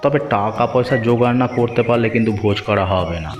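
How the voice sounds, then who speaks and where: A man's voice: mid-range voice, quick pace, long reverb tail, faint music behind, close to an everyday voice. A man voicing a mild, cautious village husband in a Bengali cartoon. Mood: reluctant. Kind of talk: Bengali moral-story cartoon dubbing.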